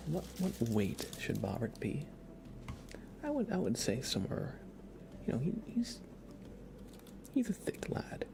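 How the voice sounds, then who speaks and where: A man livestreaming a game in ASMR style, softly whispering in a relaxed, casual way as he thinks aloud and chats with viewers. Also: medium pitch. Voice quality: soft, breathy whisper.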